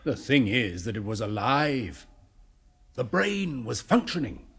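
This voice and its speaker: An actor playing a blunt, obsessive neurosurgeon, brisk and persuasive, in a 1970s radio drama. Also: male voice, medium pitch, very animated, vocal fry, fairly bright tone, husky, full character voice. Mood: intense, persuasive.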